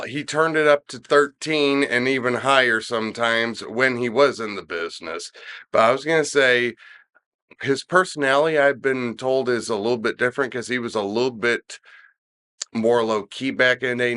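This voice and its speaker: A man's voice: mid-range voice, moderate pace, bright, forceful tone, smooth. Stuffy-nosed man in casual chat. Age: middle-aged. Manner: rambling, with many restarts and fillers. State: stuffy nose, slightly nasal. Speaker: podcast co-host discussing wrestling.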